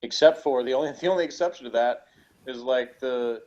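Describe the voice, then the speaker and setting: Quick-talking man, dull lo-fi sound. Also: medium pitch, a little mellow. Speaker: podcast reviewer. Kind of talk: casual review chat. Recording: dull lo-fi voice recording.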